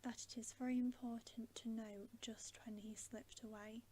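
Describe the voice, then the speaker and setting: A woman's voice: a little high, flat delivery, moderate pace, warm tone, slight background noise, close to an everyday voice. A woman voicing a shrewd, motherly female talking beaver, reasoning carefully in a whispered bedtime story reading. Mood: careful, concerned.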